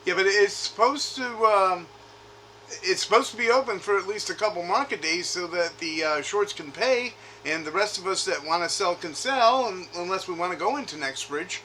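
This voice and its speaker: A man with a slightly high, bright and forceful voice talks informally on a call. He is insistent and keeps pushing with questions. There is faint noise on the makeshift recording. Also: animated, moderate pace, poor audio. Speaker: caller, retail investor. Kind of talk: informal phone conversation. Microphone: makeshift recording device grabbed during call.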